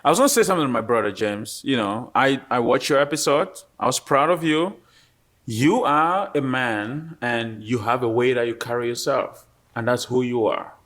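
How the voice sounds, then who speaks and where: A man's voice: medium pitch, normal speed, fairly bright tone. A man on a reunion panel speaking earnestly, encouraging a fellow guest.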